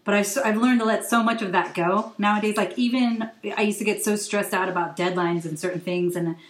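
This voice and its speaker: A woman from Hawaii and the West Coast hosting a casual conversation, candid and quick to laugh. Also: medium pitch, roomy sound, rough recording. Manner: relaxed, candid, laughs often. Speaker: host.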